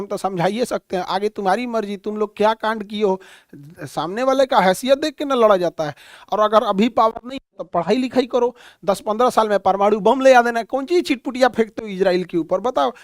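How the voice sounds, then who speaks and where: A man's voice: high voice, no room sound, studio quality. A man with a Bihari accent lecturing informally as a teacher, in a blunt, colloquial, mocking way with rhetorical questions. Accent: Bihari Hindi. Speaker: teacher and coaching instructor. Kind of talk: informal classroom lecture with comic asides.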